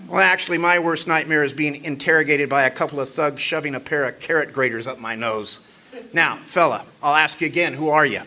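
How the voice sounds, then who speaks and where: An actor playing a sardonic, hardboiled private eye narrating a radio detective parody. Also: male voice, a little high, fairly quick, sharp tone. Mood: exasperated.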